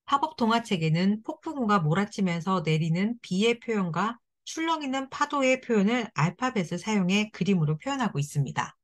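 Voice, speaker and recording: A woman narrating step-by-step craft instructions for children in a gentle, encouraging way. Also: medium pitch, lively intonation, brisk, hardly any pauses. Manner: gentle, encouraging, speaks directly to kids.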